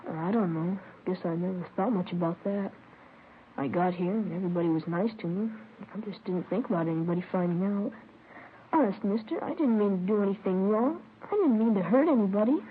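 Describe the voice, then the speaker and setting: A woman's voice: mid-range voice, brisk, natural voice. A woman playing a meek, apologetic runaway boy from Ohio in a 1950s radio drama. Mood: earnest. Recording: tinny, noisy old radio recording.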